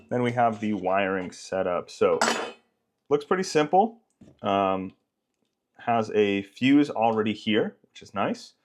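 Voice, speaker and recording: Man, low voice, product reviewer, casual unboxing narration, informal and explanatory, faint background noise with clicks.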